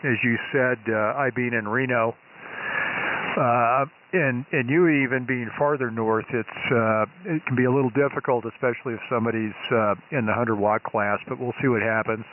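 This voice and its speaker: A man running an amateur radio net, chatting in an easygoing, halting way with many fillers. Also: medium pitch, steady tone. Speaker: net control operator. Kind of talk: ham radio net chat. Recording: phone-like shortwave radio with hiss.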